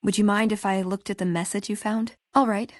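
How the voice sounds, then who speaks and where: Actress doing young woman scribe in a video game, witty n chatty, kinda wry, mid pitch, super dry sound. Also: steady tone, dry recording, natural voice. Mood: subdued, wistful. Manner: wry, chatty, quick to joke. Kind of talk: video game character dialogue.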